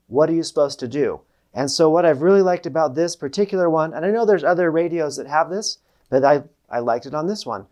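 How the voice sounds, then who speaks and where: A man's voice: a little high, darkish tone. A man hosting a casual product review, talking to viewers in a plain-spoken, explanatory way. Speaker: host of a preparedness product review channel.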